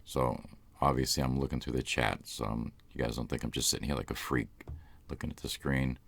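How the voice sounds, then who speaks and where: A man's voice: extremely low pitch, fairly even intonation, brisk, creaky, fairly bright tone, husky. A man with a Boston accent, hosting a live stream in loose, rambling casual chat. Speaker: ASMR channel host.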